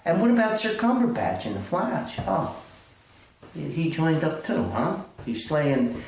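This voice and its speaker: A man playing a hapless, excitable, self-styled famous author who stays stubbornly positive, stammering and rambling through a one-sided comedy phone call. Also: on the high side, unhurried, pitched above the performer's own voice. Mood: puzzled, deflated. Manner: stammering, rambling, repeating himself. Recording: echoey distant old recording, faint noise.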